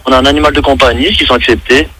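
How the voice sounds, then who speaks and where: A man's voice: medium pitch, steady tone, very fast, natural voice. A man playing a polite, fussy hotel guest who rambles and hesitates on a prank phone call. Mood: earnest, doting. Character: polite, fussy male hotel guest, devoted pet owner. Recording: faintly noisy radio prank call.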